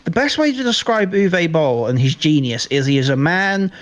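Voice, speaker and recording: A man's voice: a little high, sing-song, moderate pace, dull audio. A man co-hosting a film podcast in casual chat, rambling and often restarting his words. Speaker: podcast co-host discussing films.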